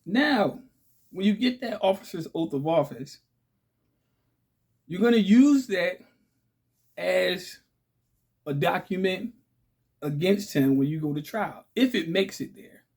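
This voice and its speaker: Raspy-voiced man, chatty vlog host. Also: sits high, expressive, takes it slowly, long pauses, darkish tone. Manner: chatty, repeats points with "okay?" and "right?". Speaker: channel host. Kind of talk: casual direct-to-camera talk.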